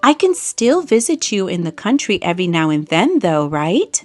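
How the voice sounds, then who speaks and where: A woman's voice: mid-range voice, big pitch swings, normal speed, darkish tone, faint music behind, lightly acted. A woman voicing a confident, cheerful female city mouse in a children's storybook, with a breezy, emphatic manner. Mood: friendly.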